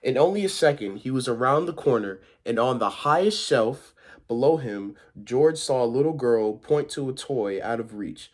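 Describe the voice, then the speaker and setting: A man's voice: mid-range voice, expressive, moderate pace. A man with a mid-range voice reads a children's picture book aloud. His delivery is informal, like a volunteer storyteller, and he sometimes trips over the text. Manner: informal, stumbles over words while reading.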